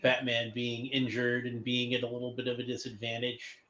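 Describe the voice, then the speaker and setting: Man giving halting fan commentary, dull noisy recording. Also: medium pitch, completely flat delivery, sharp tone. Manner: halting, thinks aloud, conversational. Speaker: host of a cartoon watchalong commentary. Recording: dull lo-fi home recording, background noise.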